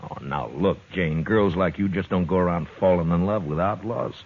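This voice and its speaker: A low, gruff frontier marshal. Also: male voice, moderate pace. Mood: skeptical. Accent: American. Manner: gruff, terse, authoritative. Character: tough, dry, plainspoken frontier marshal, adult man. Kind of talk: 1950s Western radio drama acting. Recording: dull, muffled 1950s radio recording.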